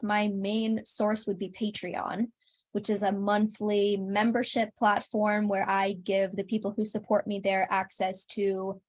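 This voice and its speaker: A woman's voice: medium pitch, a little mellow. A young adult woman speaking as an interview guest, explaining things casually in orderly, articulate sentences. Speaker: interview guest, singer and video creator.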